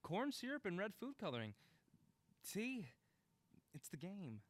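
Playful, teasing, lively-voiced man. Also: sits high, unhurried, no echo, exaggerated voice. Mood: amused, unruffled. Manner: teasing, nonchalant, slightly stammering. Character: playful, teasing, unruffled friend coaxing along. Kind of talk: naturalistic audio drama acting.

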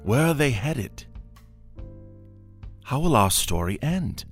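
A man playing a droll, portentous narrator of a suspense serial, with a solemn, deliberate delivery. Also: mid-range voice, big pitch swings, slightly rough, exaggerated voice. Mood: droll, ominous. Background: music.

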